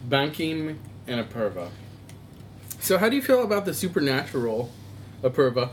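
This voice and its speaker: A man's voice: fairly high pitch, sing-song, normal speed, a little hiss or hum. A man hosting an improv podcast, interviewing characters in a playful, laughing manner. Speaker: host.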